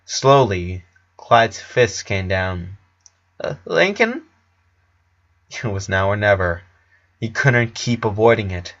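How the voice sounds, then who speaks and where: A man's voice: on the low side, slow, fairly bright tone. A man narrating a story expressively, on a slightly dull, lo-fi recording.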